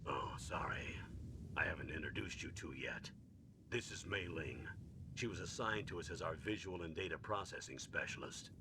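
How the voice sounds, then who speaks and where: A man's voice: sits low, normal speed, noisy background. An actor playing a commanding older colonel who briefs his agent in a video game. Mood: matter-of-fact.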